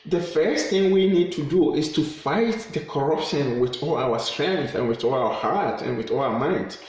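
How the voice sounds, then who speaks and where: A man's voice: on the high side, expressive, moderate pace, a little mellow, a little hiss or hum. A man with a Ghanaian accent, a campaigner urging listeners to fight corruption in a fervent appeal to camera, repeating phrases for emphasis. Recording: very echoey room.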